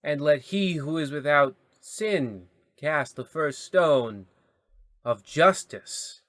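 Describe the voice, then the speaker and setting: A man's voice: fairly high pitch, lively intonation, slow, fairly bright tone, no echo. A man playing a grandiose, sermon-like narrator in the style of an old radio serial. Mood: grave, portentous. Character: solemn, preachy male radio-play narrator.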